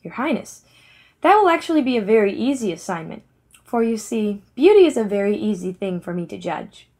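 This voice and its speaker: A woman's voice: medium pitch, sing-song, fairly quick, dark tone. Woman acting a fussy, exclaiming vain courtier. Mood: boastful. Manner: exclaiming, boastful, overpolite. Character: vain, fussy, superficial royal courtier man. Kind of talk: children's storybook character voice.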